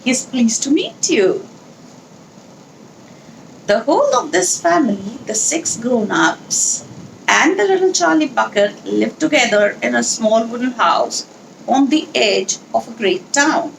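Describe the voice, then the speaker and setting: A woman leading a children's read-along, speaking in an instructional, deliberate, explanatory way with pauses. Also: fairly high pitch, sing-song, vocal fry, slight background noise, low-quality recording. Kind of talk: instructional talk and storybook read-aloud.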